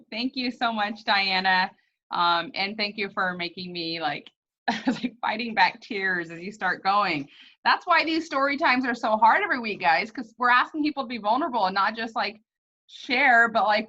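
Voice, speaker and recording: A woman's voice: medium pitch, moderate pace, bright, forceful tone, highs cut off. A woman casually hosting an online meeting in an effusive, informal way. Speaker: host of an online story-time meeting. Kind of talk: casual hosting, introducing speakers.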